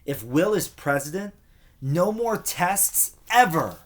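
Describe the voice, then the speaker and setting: Man doing an excitable, bossy little boy. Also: high-pitched, slow pace, voice pushed up, heavily acted. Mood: excited announcing. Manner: enthusiastic, bossy, shouting announcements. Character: excitable scheming little kindergarten boy campaign manager.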